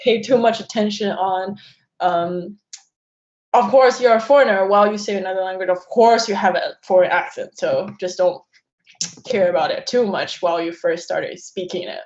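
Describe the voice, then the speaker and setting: A woman's voice: medium pitch, moderate pace, darkish tone. Woman co-host, earnest and reassuring, echoey lo-fi recording. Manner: encouraging, earnest. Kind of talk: casual chat. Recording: echoey lo-fi room sound.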